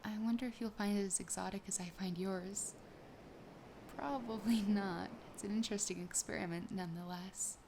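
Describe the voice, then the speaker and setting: A woman acts out a sassy, wistful young siren in an ASMR roleplay. The voice is soft and breathy, often slipping into a whisper, gentle and close to the mic. There is clear background noise behind it. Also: medium pitch, steady tone, moderate pace, close to an everyday voice. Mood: delighted, curious.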